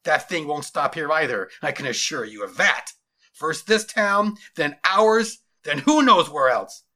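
A man's voice: fairly high pitch, animated, a little slow, pushed and bright. A man voicing a domineering, zealous army general in a story, barking orders in a declamatory, commanding way. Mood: fierce, menacing.

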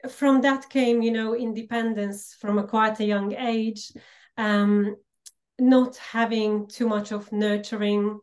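A middle-aged woman from Slovakia with a Hungarian-Slovak accent, a podcast guest telling her life story earnestly with frequent pauses. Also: medium pitch, darkish tone. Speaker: podcast guest, empowerment coach. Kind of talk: personal storytelling interview.

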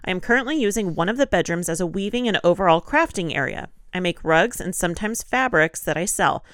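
A woman with a slightly low voice talks informally as a podcast co-host. She sounds a bit congested and nasal, as if from allergies, and moves between loose chat and reading posts aloud. The sound is close and dry. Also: animated, fast talker, a bit bright, dry recording. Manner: informal, chatty.

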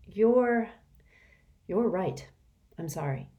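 A woman's voice: mid-range voice, animated, drawn-out words, dark tone, muffled recording, pitched above the performer's own voice. A woman reading an audiobook, voicing a bold, impatient girl who speaks bluntly. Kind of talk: audiobook character voice.

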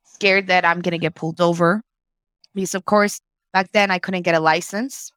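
A woman's voice: fairly low pitch, steady tone, normal speed, fairly bright tone, no echo. A woman, reflectively telling her story as an interview guest and slipping Spanish phrases into her English. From Mexico.

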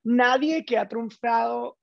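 A man's voice: extremely high pitch, slow, gravelly, dry recording, muffled recording. A man from Caracas with a Venezuelan accent, telling his story casually and emphatically as an interview guest. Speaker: interview guest, stage actor.

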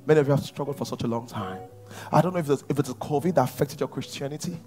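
A man preaching a sermon to his church, at times rising to shouts. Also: mid-range voice, quick pace, faint music behind. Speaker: pastor.

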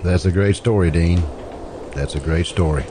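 An actor playing a blunt, skeptical interrogator in an old-time radio drama, pressing with sardonic questions. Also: male voice, deep voice, moderate pace, background noise, close to an everyday voice.

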